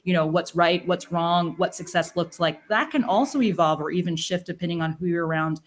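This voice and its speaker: A woman, a leadership coach speaking as a guest on an interview podcast, talking quickly with flat emphasis and often tagging her sentences with "right?". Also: on the low side.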